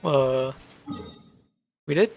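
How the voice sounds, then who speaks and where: A man's voice: medium pitch, expressive, very slow, gravelly, a little mellow. A man reacting casually to a video game as a streamer, in short, informal interjections. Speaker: gaming streamer. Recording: game music behind voice.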